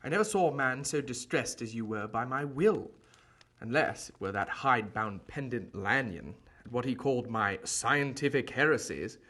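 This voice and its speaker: A man acting in an audio drama as a genial but guarded Victorian gentleman doctor, speaking in formal, courteous, earnest phrases. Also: mid-range voice, animated, moderate pace. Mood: genial, scornful.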